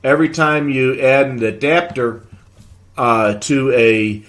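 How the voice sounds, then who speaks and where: A man with a mid-pitched voice hosts a casual live hobby show. He explains technical points in a conversational, halting way, as if working through questions from viewers. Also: a bit bright.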